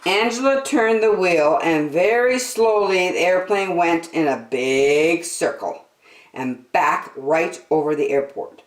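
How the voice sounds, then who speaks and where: A woman hosting story time, reading a children's book aloud in an expressive, deliberate, sing-song way. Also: lowish voice. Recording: echoey room.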